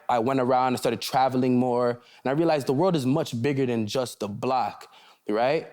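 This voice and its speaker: A man's voice: mid-range voice, darkish tone. A young man from Canada, a rapper, chatting loosely in an interview and often checking in with "you know". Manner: laid-back, loose, repeats "you see what I'm saying". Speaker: rapper guest. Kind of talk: casual interview chat.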